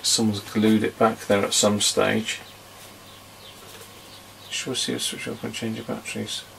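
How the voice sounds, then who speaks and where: Middle-aged male, deep and creaky, casual hobbyist demonstrating at a workbench, muttering unhurriedly. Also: flat delivery, a little slow, fairly bright tone, a little hiss or hum. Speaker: vintage radio hobbyist presenter. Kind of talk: casual hands-on demonstration commentary. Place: small indoor electronics workbench.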